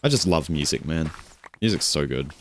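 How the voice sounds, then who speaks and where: A young man streaming and chatting casually. He rambles in a relaxed way, with little chuckles and self-corrections. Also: normal speed, a little hiss or hum. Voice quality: low voice. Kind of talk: casual stream chat while gaming.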